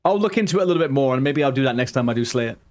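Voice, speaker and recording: A man in his thirties with a softened London accent, chatting casually with viewers while streaming a game. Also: mid-range voice, lively intonation, very fast. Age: 30s. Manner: chatty and rambling, answers viewers' chat aloud. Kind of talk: casual live-stream chat.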